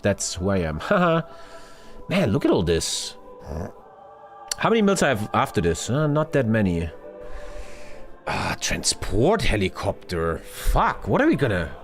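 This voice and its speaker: A man's voice: on the low side, wildly swooping pitch, a little slow, pauses often. A young man streaming a strategy game live, ranting and swearing at his chat. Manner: loud, swearing, jumpy rants to chat. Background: game music.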